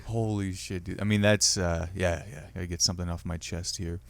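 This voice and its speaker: Deep-voiced man hosting a comedy podcast. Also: fairly even intonation, a little fast, creaky. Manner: loose, swearing, laughing banter. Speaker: podcast host. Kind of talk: casual comedy podcast chat.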